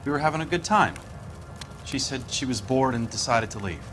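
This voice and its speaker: A man's voice: medium pitch, animated, a little fast, over loud music, natural voice. An American man in his thirties playing a defensive, bitter 1940s husband in a video game, curt and aggrieved. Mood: sullen, resentful. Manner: curt, aggrieved, plain-spoken. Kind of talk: dramatic video game acting.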